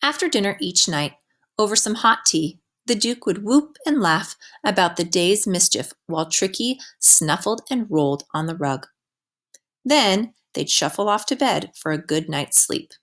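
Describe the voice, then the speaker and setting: A woman reading a children's picture book aloud as a teacher would, with expressive storytelling delivery. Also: medium pitch, measured pace.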